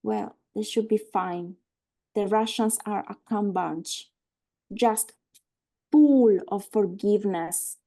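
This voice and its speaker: A woman acting a frantic, sharp-tongued woman in a live screenplay table read, halting and repeating herself under stress. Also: medium pitch.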